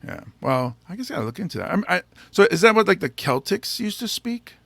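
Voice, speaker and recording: A male podcast host chatting casually in an interview, playful and teasing himself, often halting on fillers. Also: high voice, big pitch swings, vocal fry, a bit bright, no echo. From United States.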